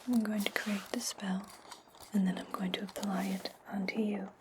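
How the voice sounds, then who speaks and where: A woman playing a menacing, controlling witch in a whispered ASMR roleplay, commanding her servant in breathy, deliberate tones. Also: mid-range voice, flat delivery, background noise, natural voice. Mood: calm, calculating.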